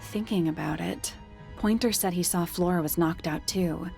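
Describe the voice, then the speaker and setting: A skeptical female detective in a mystery game, dry in manner. Her voice sits on the low side with plenty of vocal fry, over faint music. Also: normal speed, darkish tone. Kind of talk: video game voice acting, dialogue. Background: background music.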